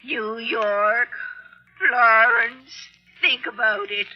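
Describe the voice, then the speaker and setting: A sweet elderly lady hungry for adventure speaks in a high voice. She draws her phrases out very slowly with breathless awe, on a muffled old radio drama recording. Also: steady tone, husky, soft background music, exaggerated voice. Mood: awed, eager. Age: 70s. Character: eager, wonderstruck sweet old lady, seventy-two, craving adventure. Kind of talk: 1940s radio acting.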